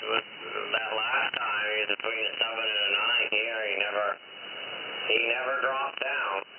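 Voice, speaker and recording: A man's voice: on the low side, little pitch movement, moderate pace, narrow, tinny audio. A man in casual conversation as a CB radio operator, heard through a radio transmission. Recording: thin, very noisy CB sideband radio.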